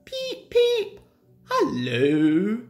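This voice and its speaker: A woman's voice: medium pitch, wildly swooping pitch, very slow, darkish tone, full character voice. A woman voicing an eager, cheerful little male steam engine in a storybook, chirpy and puffing. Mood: teasing. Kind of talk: children's storybook character.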